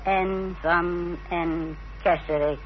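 An actress playing a proud, defiant middle-aged mother in a radio drama, speaking in a haughty, theatrical, deliberate way. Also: on the low side, fairly even intonation. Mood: confiding, proud. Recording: dull, noisy old radio recording.

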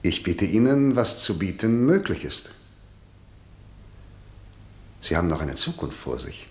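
A stern, middle-aged prosecutor in a vintage radio drama. His low voice moves widely in pitch while staying formal, clipped and condescending. The sound is roomy, like an old studio. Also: big pitch swings, a little slow. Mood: formal, pressing. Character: stern, formal chief public prosecutor, an authoritarian father. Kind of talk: mid-century German radio drama acting.

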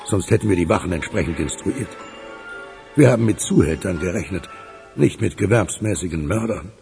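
A man playing a sober, measured official in a radio crime drama. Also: sits low, animated, gravelly, warm tone, soft background music. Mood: grave. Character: sober, measured male official reporting to investigator.

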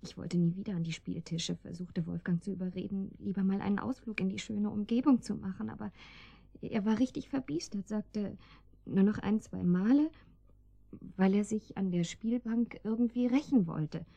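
Soft-toned anxious housewife. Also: female voice, medium pitch, moderate pace, vocal fry, no echo, dull audio. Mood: wistful, halting. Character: anxious, naive married housewife, defensive and pleading. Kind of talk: German radio drama acting.

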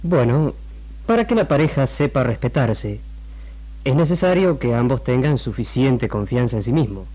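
An actor with an Argentine accent playing a formal, didactic doctor giving an interview in a 1960s radio drama. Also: male voice, mid-range voice, animated, moderate pace, mellow, natural voice. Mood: formal, explanatory. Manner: formal, lecturing. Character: measured, didactic doctor interviewed on television about marriage.

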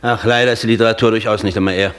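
A man's voice: on the low side, fairly even intonation, racing, natural voice. A man acting an eloquent, imperious, worldly professor and master in a German radio play, musing theatrically. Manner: theatrical, musing, swinging emphasis.

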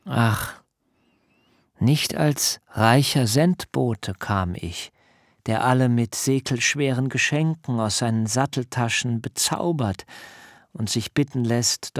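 An actor playing a proud, eloquent fugitive nephew in a literary radio drama, measured and ornate in speech, with some creak. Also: male voice, medium pitch, dry recording, clean studio sound. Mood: plaintive, rueful. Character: destitute but proud, eloquent fugitive nephew, smooth-tongued.

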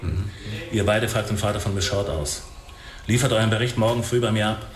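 An actor in a radio play voicing a brusque police captain giving orders. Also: male voice, lowish voice, fairly even intonation, a little fast, roomy sound, close to an everyday voice. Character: commanding male police captain.

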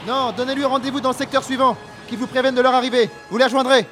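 A man's voice: extremely high pitch, flat delivery, fast, fairly bright tone, soft background music, pitched above the performer's own voice. A man acting a curt, commanding rebel warrior captain barking urgent short orders in an audio drama.

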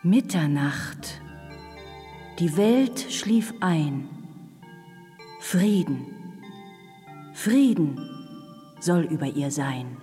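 An actress playing a solemn, majestic Night Fairy in a children's radio play, speaking in stately incantations. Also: female voice, low-pitched, expressive, very slow, pauses often, mellow, exaggerated voice. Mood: solemn, serene. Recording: long hall reverb with music.

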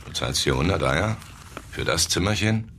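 An actor in a German radio drama playing a streetwise newspaper reporter with a casual, probing, laconic way of talking. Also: male voice, sits low, expressive, moderate pace, a bit bright, a little hiss or hum. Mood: probing, dry.

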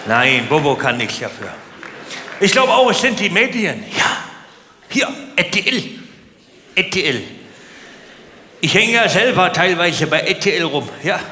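High-pitched, animated male stand-up comedian. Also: measured pace, long pauses. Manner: exaggerated indignation, rhetorical questions to the audience. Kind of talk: stand-up comedy routine with rants. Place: large indoor live comedy venue. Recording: echoey hall, noisy live audience.

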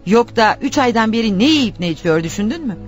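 An actress playing a nagging, reproachful wife in radio theatre, scolding and insistent with a sarcastic edge. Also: female voice, a little high, lively intonation, fairly quick, soft background music, natural voice. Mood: indignant. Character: nagging, reproachful wife pushing her jobless husband.

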